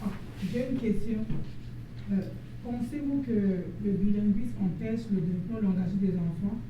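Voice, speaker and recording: A woman's voice: medium pitch, normal speed, dark tone. A woman in the audience asking a question, hesitating and searching for her words. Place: meeting room audience. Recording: very echoey, distant, faint noise.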